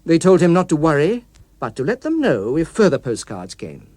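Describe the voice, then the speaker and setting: A man narrating an audiobook story in a precise, expressive, literary manner, recorded in a studio. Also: on the high side, moderate pace, no echo. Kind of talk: scripted dramatic story narration.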